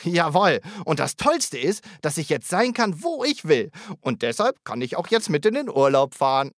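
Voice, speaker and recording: A friendly zebra for a children's radio play. The voice sits fairly high and swings about in animated melody. He rambles eagerly, a bit shy but proud of himself. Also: normal speed, no room sound, clean studio sound. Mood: proud, excited. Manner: hesitant, rambling, childlike enthusiasm. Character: shy yet proud, friendly talking zebra, former crosswalk. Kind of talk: children's audio drama acting.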